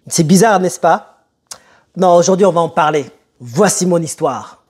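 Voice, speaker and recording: A young man who grew up in France telling a personal story to camera in a conversational, emphatic way with dramatic pauses. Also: fairly high pitch, animated, a little mellow, slightly rough. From born in Italy, moved to France as a child. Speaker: motivational video host.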